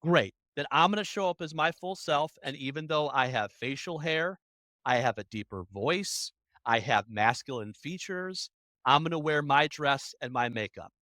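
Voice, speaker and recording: An adult interview guest speaking in animated, emphatic bursts. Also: medium pitch, moderate pace, no echo. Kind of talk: conversational interview.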